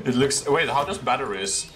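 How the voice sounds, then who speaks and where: A man's voice: mid-range voice, huge pitch swings, measured pace, background noise. A young man giving casual, reactive commentary on a horror game, exclaiming and repeating short phrases, recorded in a room at home. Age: young adult. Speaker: gaming video creator playing a horror game. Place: small room at home, indoors.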